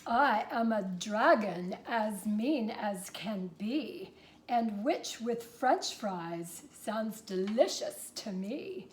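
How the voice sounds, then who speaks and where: A woman voicing a mean, hungry male dragon in a storybook, with drawn-out, menacing delivery. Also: mid-range voice, expressive, slight background noise, poor audio.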